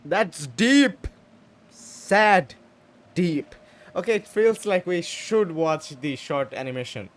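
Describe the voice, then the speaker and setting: A man's voice: high voice, lively intonation, slow. Hesitant man reacting to a game. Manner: hesitant, thinking aloud, repeats himself. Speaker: gaming streamer. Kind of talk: casual gameplay reaction chat.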